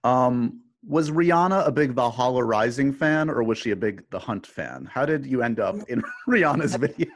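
A man's voice: medium pitch, animated, moderate pace, hardly any pauses. A male podcast host with a mid-range voice asks questions in a chatty, upbeat way. He keeps the conversation moving with casual comments. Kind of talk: interviewing a guest.

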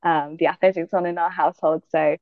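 A young woman athlete from Jamaica, answering interview questions in a relaxed, thoughtful way with many fillers. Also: on the low side, brisk, no room sound, narrow, tinny audio. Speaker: guest athlete.

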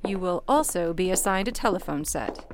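Radio play: brisk, wry female chief operator, acted by a woman, lowish pitch, instructive and confiding. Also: expressive, noisy background, lightly acted. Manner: dry-humoured, confiding, instructive. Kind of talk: old-time radio play acting.

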